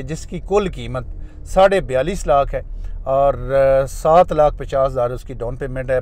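A man with a Pakistani Urdu accent, a real estate agent who narrates a casual tour from inside a moving car, pitching plots with many fillers. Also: darkish tone.

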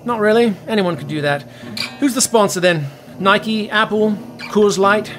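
A man's voice: sits high, unhurried, over music. An actor playing a vain, needy, pompous washed-up male rock star in a comedy audio drama. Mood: dismissive. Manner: pompous, self-important.

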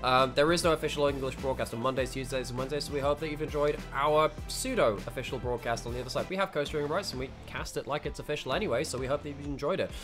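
A man casting a live esports match with lively, punchy play-by-play, his voice a little ropey from overuse. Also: mid-range voice, fast talker, few pauses, music in the background. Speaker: esports caster. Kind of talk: play-by-play commentary.

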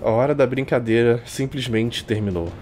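A man's voice: medium pitch, moderate pace, darkish tone. Brazilian man reading dramatically over music. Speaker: streamer reading a visual novel aloud. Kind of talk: dramatic live reading with casual asides. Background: game music.

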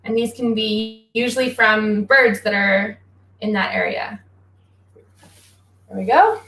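A young woman giving a relaxed, chatty drawing lesson, explaining each step while she draws at home, speaking away from a laptop mic. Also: a little high, slow, reverberant, rough recording. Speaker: guest athlete teaching drawing. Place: small home room. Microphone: laptop mic from across the room, facing away.

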